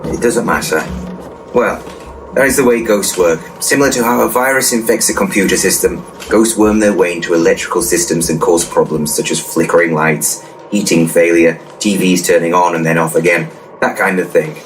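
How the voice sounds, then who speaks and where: Man playing a low, pompous handyman ghost-buster, distant. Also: normal speed, close to an everyday voice. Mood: pompous, assured. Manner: pompous, matter-of-fact. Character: cocky, crude, know-it-all male ghost-busting handyman. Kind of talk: full-cast comedy audio drama. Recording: distant mic, clear background noise.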